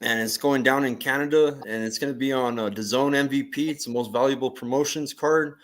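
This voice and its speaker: A man hosts a casual sports interview. His voice is middle in pitch, and he talks informally with plenty of slang, addressing the guest like a fan. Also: fairly even intonation, a little fast, fairly bright tone. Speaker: boxing show host.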